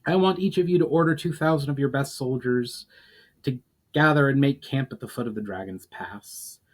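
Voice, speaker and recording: Male reader as fantasy war leader: weary, principled, earnest, deliberate, commanding. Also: mid-range voice, voice pushed up, close to an everyday voice.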